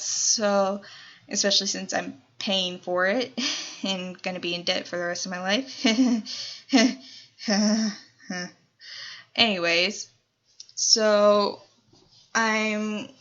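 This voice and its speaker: 19 yo girl from colorado doing a q&a vlog, slow and rambly, lots of ums, kinda dull lo-fi sound. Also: mid-range voice, a bit bright. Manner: chatty, rambling with many "so" and "um" fillers. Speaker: YouTuber. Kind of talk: casual Q&A vlog.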